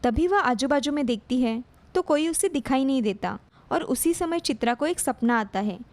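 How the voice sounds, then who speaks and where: A woman's voice: high voice, animated, moderate pace. A woman reading a scripted story as narrator.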